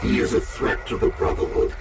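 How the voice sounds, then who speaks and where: An actor playing a cold, calculating, imperious military artificial intelligence in a video game cutscene, speaking in formal, measured, menacing declarations. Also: male voice, close to an everyday voice. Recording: very noisy, distant game cutscene audio.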